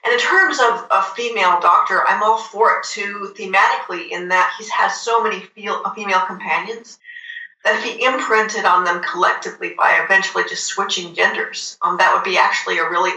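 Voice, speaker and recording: A very bright, cutting voice in an echoey recording, some way from the microphone. The speaker talks casually and with firm opinions, often restarting a phrase before finishing it. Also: medium pitch, a little fast, recorded from a distance. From United States. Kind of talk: casual fan chat.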